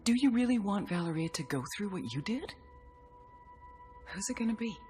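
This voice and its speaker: An actress playing a tough, calculating government handler in a video game cutscene, speaking deliberately and persuasively. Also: female voice, medium pitch, expressive, a little mellow, faint music behind, lightly acted. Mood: pressing, intense. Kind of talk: dramatic game cutscene acting.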